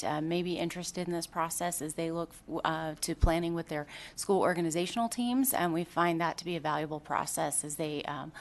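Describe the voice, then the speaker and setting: A woman testifying for a school district at a legislative hearing, speaking carefully with frequent ums. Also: lowish voice. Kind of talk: formal public testimony. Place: legislative committee hearing room.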